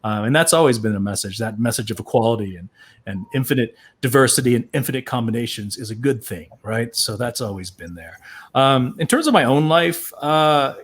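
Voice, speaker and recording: Middle-aged guy on a panel, outspoken, tells stories with lots of energy, mid pitched. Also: brisk, breathy edge. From USA. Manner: animated storyteller, outspoken, acts out quoted voices. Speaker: actor and panel guest. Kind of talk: panel discussion.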